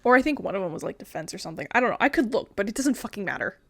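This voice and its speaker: A woman's voice: medium pitch, expressive, very fast, slight background noise. A woman giving casual let's play commentary, rambling and playful, with the odd swear word. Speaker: gaming video host.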